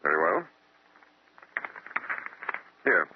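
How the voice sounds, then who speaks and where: An actor playing a pedantic, self-assured, somewhat evasive psychiatrist in an old radio drama. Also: male voice, low voice, big pitch swings, drawn-out words, a little hiss or hum, heavily acted. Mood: wary, reluctant. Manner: hesitant, then clinical and lecturing. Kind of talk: 1940s radio acting. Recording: old tinny radio recording.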